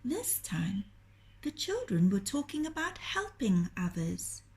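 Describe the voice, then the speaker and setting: A woman reading a children's story aloud in a gentle, deliberate, expressive storytelling manner. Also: medium pitch. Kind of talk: children's storytelling, scripted narration.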